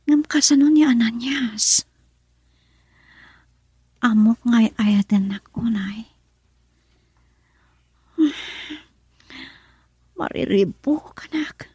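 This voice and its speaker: A woman acting a troubled, secretive young woman in a radio drama, breathy and hesitant. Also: fairly high pitch, extremely slow, pauses often, a little mellow, dry recording, exaggerated voice.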